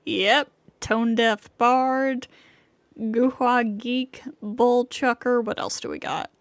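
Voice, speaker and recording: High-voiced woman livestreamer, dry close sound. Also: fairly even intonation, takes it slowly, no echo. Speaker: livestreamer playing a game. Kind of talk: casual reactions and joking over gameplay.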